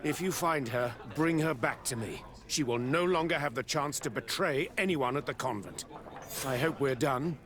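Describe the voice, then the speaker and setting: A scornful, devout father from a game cutscene, played by a man. He is middle-aged, with a medium-pitched voice. His formal delivery is haughty and bitter. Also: normal speed, fairly bright tone, close to an everyday voice. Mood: stern, resolute. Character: stern, pious, scornful father of grown daughter. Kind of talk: video game cutscene dialogue.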